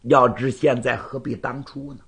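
A man's voice: medium pitch, fairly even intonation, natural voice. A male storyteller voicing a courteous, composed knight-errant who reasons patiently and firmly with his challenger. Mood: patient, reasoning. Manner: measured, conciliatory, gently reasoning. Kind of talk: Chinese storytelling performance.

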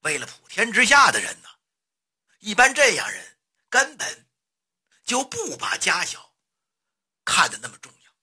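女说书人演的沙哑沉稳的老臣. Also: female voice, medium pitch, wildly swooping pitch, bright, forceful tone, breathy edge, full character voice. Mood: earnest counsel. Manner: deferential, measured, persuasive. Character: cautious old male courtier, uncle counselling his hot-headed king.

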